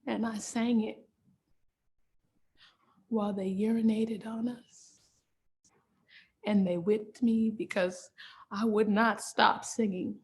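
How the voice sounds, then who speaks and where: A woman playing an anguished, fiercely loving enslaved mother in a dramatic stage monologue, speaking emphatically with heavy pauses. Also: mid-range voice, fairly even intonation, a little mellow. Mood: anguished, remembering. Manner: slow, emphatic, repetitive delivery with dramatic pauses.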